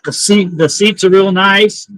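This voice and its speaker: A man's voice: high voice, unhurried, fairly bright tone, slight background noise, low-quality recording. A man chatting and teasing on a pontoon boat while fishing. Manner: teasing, joking. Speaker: fishing companion. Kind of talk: casual chat while fishing. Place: outdoors on a pontoon boat on a lake.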